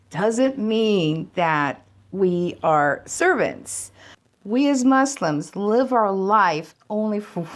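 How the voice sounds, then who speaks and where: A middle-aged American woman speaking in an earnest, plainspoken way in an interview, with light laughs. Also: medium pitch. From United States. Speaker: local businesswoman. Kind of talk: interview testimony.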